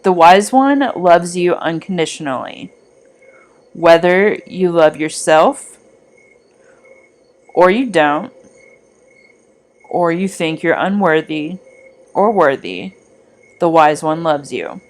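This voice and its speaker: A woman reading a scripted guided meditation in a measured, gently instructing manner. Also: fairly low pitch, lively intonation.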